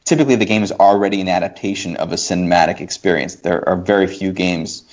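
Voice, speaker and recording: A man in a casual, opinionated discussion, thinking aloud in a halting but emphatic way and rephrasing as he goes. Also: low voice. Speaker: podcast guest. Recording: dull lo-fi podcast audio.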